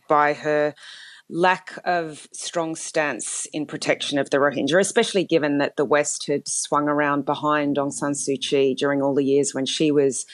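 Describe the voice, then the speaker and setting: A woman law professor giving measured, explanatory expert commentary in an interview. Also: sits low, dry recording. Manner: measured, explanatory, with occasional fillers.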